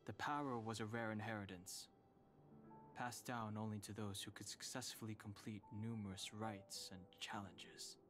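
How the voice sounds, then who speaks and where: A man acts out a solemn, taciturn video game character steeped in old lore. His voice is low and his phrasing grave and formal. Music is clearly heard behind him. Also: fairly even intonation, normal speed, lightly acted. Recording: prominent background music under the voice.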